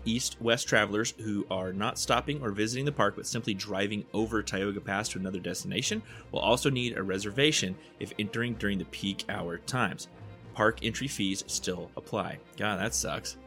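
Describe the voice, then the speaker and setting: A man's voice: on the low side, a bit bright. A man hosting a local news show, reading press releases casually and adding joking asides. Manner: laid-back, adds joking asides to the news. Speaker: local news podcast host.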